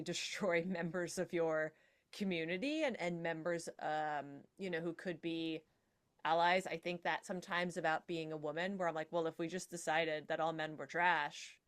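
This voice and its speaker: Female podcast panelist, slightly low-voiced, conversational, wry and articulate. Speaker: podcast panel guest, writer. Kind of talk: casual panel discussion.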